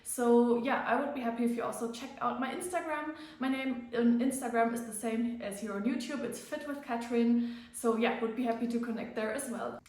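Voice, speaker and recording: A woman with a noticeable German accent talks casually to her viewers, her voice sitting a little high. She chats along with plenty of fillers and a slightly self-conscious manner. The room around her rings with heavy echo. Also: normal speed, darkish tone. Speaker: fitness vlogger. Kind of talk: casual vlog to camera. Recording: very echoey room.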